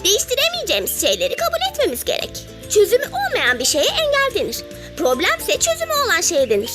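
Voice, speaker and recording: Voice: very high-pitched, huge pitch swings, fast talker, over music, heavily acted. A performer voicing a cheerful, wise little cartoon ladybug who teaches patiently with coaxing questions. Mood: gently instructive. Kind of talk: children's cartoon dialogue.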